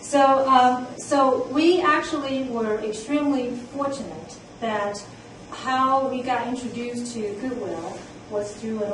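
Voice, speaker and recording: A woman's voice: fairly high pitch, a little hiss or hum. A woman presenting at a conference, speaking earnestly with frequent fillers. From immigrant. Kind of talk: conference talk. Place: conference room. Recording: echoey room, mic from far off.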